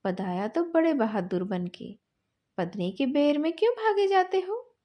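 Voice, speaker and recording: A woman's voice: sits high, expressive, measured pace, dark tone. A woman voicing a bossy, stubborn village boy in a story, insistent and scolding as he argues. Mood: indignant. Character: thin, stubborn, bossy village boy demanding his turn.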